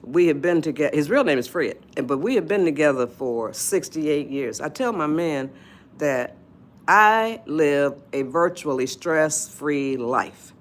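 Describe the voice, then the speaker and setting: An eighty-one-year-old woman chats on her live stream. She talks slowly and drifts between thoughts. She laughs easily and stops to greet her viewers. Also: sits low, animated. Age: 80s. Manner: chatty, rambling, laughs often, greets viewers. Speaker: livestream host. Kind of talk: casual live-stream chat.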